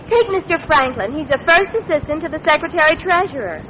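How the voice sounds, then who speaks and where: An American actress playing a jaded, wisecracking office worker, deadpan and worldly-wise, in 1940s radio drama. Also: female voice, high voice, quick pace, a faint crowd. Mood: dry, knowing. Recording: muffled, noisy old radio recording.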